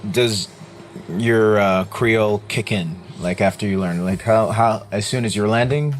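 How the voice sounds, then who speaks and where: A man's voice: lowish voice, a little slow. A man interviewing a guest, asking short, casual questions and giving brief agreeing replies.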